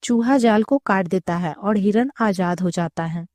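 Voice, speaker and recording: Mellow-voiced woman telling children's stories. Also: mid-range voice, unhurried, no echo. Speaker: children's storyteller. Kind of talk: scripted story narration.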